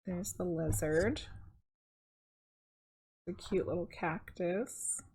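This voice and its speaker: A middle-aged woman reading a children's picture book aloud, expressive and conversational, with casual asides to her viewers and a slightly husky voice. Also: fairly low pitch, drawn-out words, rough recording.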